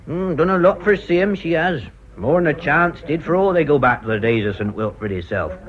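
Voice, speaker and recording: A man's voice: a little high, lively intonation, a little fast, close to an everyday voice. Guy acting a folksy village bloke in a radio play, gossipy, very up and down voice, bit muffled. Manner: folksy, clipped, dialect phrasing. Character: gossipy, loyal, cagey village man, a war veteran. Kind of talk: British radio drama acting. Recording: dull, muffled radio recording.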